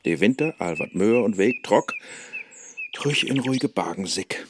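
Faust, a restless, brooding scholar, speaks rhyming Low German verse in a radio play. He takes his time, and his pitch rises and falls widely. Faint birdsong and noise sit behind him. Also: expressive, measured pace. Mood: wistful. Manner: rhyming declamation, swinging from gloom to fervour.

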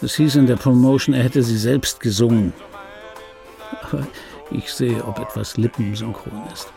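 An actor playing a laconic, melancholic middle-aged dubbing actor, telling his story in a husky voice as an intimate radio monologue. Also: medium pitch, steady tone, over music, natural voice. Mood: wry, bitter. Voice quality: husky, gravelly. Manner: laconic, dry, deliberate. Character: wry, melancholic, self-regarding ageing male film dubbing actor.

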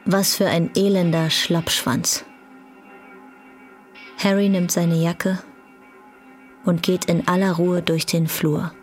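A woman narrating a scripted German radio drama in a cool, deliberate manner. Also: fairly low pitch, level tone, faint music behind.

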